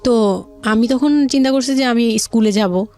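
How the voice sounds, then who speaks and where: A woman's voice: fairly high pitch, normal speed, warm tone, faint music behind. A woman with a Bangladeshi accent telling her personal story as an interview guest, colloquially, often repeating and rephrasing herself.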